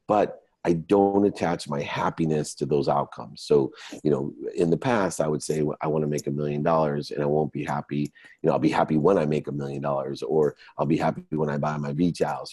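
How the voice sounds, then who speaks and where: Very deep-voiced man of fifty, motivational speaker. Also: warm tone, slightly rough, no echo. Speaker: guest, motivational entrepreneur and speaker. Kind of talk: interview answers, motivational explanation.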